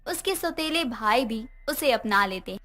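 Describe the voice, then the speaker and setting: A woman's voice: fairly high pitch, lively intonation, moderate pace, pushed and bright, slight background noise. A woman narrator reading a scripted moral bedtime story.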